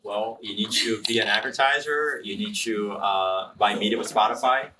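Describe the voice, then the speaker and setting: A man speaking as an interview guest in front of a student audience, relaxed and explanatory as he thinks aloud. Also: lowish voice, normal speed. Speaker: marketing executive guest. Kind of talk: casual interview answers. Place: room with a live student audience. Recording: distant room mic, faint noise.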